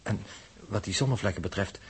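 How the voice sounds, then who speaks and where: An actor playing a learned, precise space scientist in a 1960s Dutch radio drama, with a measured, didactic delivery. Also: male voice, on the low side, husky. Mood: grave, insistent.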